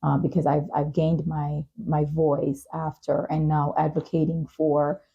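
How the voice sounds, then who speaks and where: A woman hosting a podcast interview, speaking in an earnest, measured, reflective way with frequent pauses. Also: low voice, little pitch movement, vocal fry, dark tone, husky, muffled recording. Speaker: talk show host and advocate.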